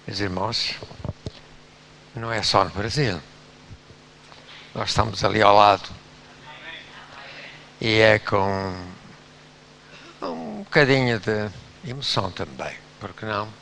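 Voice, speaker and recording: A man's voice: lowish voice, expressive, takes it slowly, creaky, long pauses, sharp tone, slight background noise. A man gives an exhorting church address in a visiting pastor's role.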